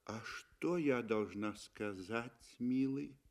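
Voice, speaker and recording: A man's voice: medium pitch, slow, a little mellow. A cold, mocking wife voiced by a man speaks languidly. She brushes off her husband dismissively, in the manner of a 1960s radio play.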